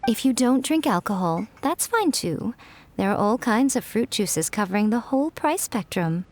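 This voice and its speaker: A woman's voice: on the high side, animated, normal speed. A woman voicing a sly fox-spirit lady in a video game, who speaks with smug, languid teasing.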